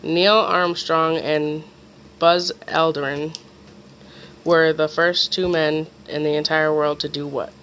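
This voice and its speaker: A man with a fairly high voice hosts a casual home quiz. He reads questions aloud in an informal way. There is slight noise behind him. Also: level tone, slow, fairly bright tone, rough recording. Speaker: quiz host.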